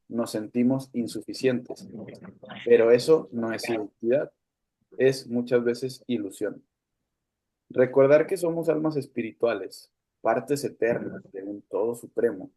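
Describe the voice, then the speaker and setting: A man teaching a spiritual class over a video call. His voice is mellow and warm, at a middle pitch, calm and measured with reflective pauses. The sound comes through a computer mic, and at times his voice drifts away from it. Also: rough recording. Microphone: computer mic on a video call, no headphones.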